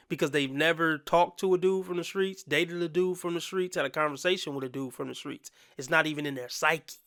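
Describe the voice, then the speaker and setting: A man's voice: a little high, a little fast, a bit bright, no room sound, clean studio sound. A man hosting a reaction commentary channel, blunt and opinionated with casual slang.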